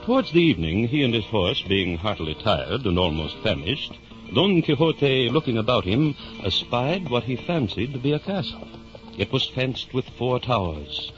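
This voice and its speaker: A man narrating a literary adventure in the theatrical, measured style of 1950s radio drama. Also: lowish voice. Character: storyteller narrating a knight's adventures. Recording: muffled 1950s radio recording. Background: guitar music.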